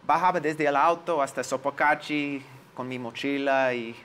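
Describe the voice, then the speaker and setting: A man in his forties with an American accent, a native English speaker, recalling his experiences in an interview and pausing to search for words. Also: mid-range voice, fairly even intonation, fairly bright tone. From United States. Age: 40s. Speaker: lawyer, interview guest. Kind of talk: interview, personal recollection.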